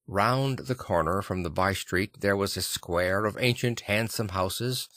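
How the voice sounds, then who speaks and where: A man reading classic fiction as an expressive audiobook narrator. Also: on the low side, a little slow, dry recording. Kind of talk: expressive scripted literary narration.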